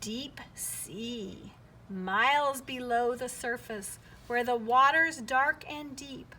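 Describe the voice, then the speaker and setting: High, animated woman reading to children. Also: slight background noise, poor audio. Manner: expressive, simple child-directed prompts and counting. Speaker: teacher reading aloud to children. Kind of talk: children's picture book read-aloud.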